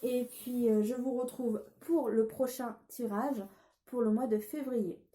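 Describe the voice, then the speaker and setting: A woman with a cold reads tarot cards for her viewers in a casual, hesitant way, pausing on fillers and restarting her sentences. Her voice is fairly high yet mellow and soft. It rings in an echoey room over a faint hiss. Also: steady tone. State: has a cold. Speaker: tarot card reader. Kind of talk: casual tarot reading vlog.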